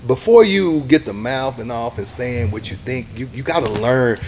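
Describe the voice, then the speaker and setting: A middle-aged man, a radio DJ talking casually in an interview, animated and acting out what other people say. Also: medium pitch, moderate pace, slight background noise. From Texas, USA. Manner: animated, acts out quoted people, says "you know what I'm saying" a lot.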